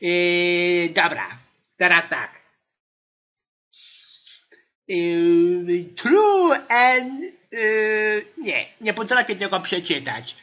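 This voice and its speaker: A woman's voice: on the low side, lively intonation, extremely slow, pauses often, a bit bright, highs cut off, exaggerated voice. A woman playing an exasperated female English teacher in an amateur comedy audio sketch, whining and grumbling as she scolds her class. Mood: flustered, exasperated.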